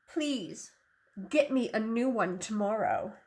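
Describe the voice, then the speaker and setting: A woman's voice: a little high, sing-song, takes it slowly, darkish tone, voice pushed down, exaggerated voice. A woman voicing an entitled male opossum in a children's storybook, complaining and politely demanding.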